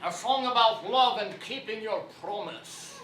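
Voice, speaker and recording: A man's voice: high voice, unhurried, sharp tone. A man acting in a stage musical as an old sailor, a sly but agreeable storyteller. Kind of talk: stage play acting, singing a sea shanty. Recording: echoey theatre, distant mic, music.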